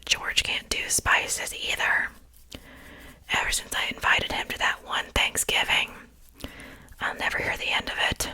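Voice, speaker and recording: A chatty, faintly haughty witch, acted out by a woman for an ASMR roleplay. She speaks entirely in a breathy whisper with a very bright, sharp edge. Her delivery is unhurried and confiding, as if sharing gossip over her cooking. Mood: gossipy, rueful.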